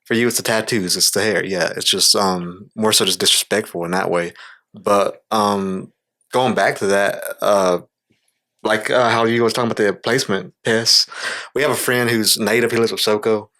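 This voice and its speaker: This is a man with a slightly low voice in a relaxed, informal discussion. He reasons his way through points thoughtfully and puts open questions to the people he is talking with. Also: normal speed. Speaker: podcast co-speaker. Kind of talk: casual discussion.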